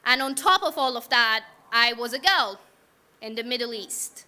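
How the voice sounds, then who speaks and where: A woman's voice: a little high, expressive, normal speed, sharp tone. A young woman from Iran telling her personal story to a live audience in a frank, wry, casual way, asking the room questions.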